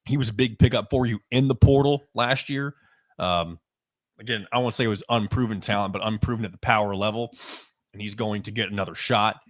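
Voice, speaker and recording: A man with a lowish voice talks through a sports podcast in a loose, conversational way, stopping for ums and restarting his sentences. The sound is close and dry, with no room around it. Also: fairly bright tone, dry recording. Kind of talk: casual sports podcast talk.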